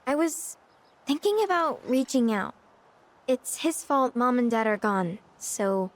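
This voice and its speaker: A sweet little ranch girl for a video game. She is high-pitched and lilting, earnest and polite with a touch of shyness. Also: lively intonation, unhurried. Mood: earnest, troubled. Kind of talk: video game cutscene acting.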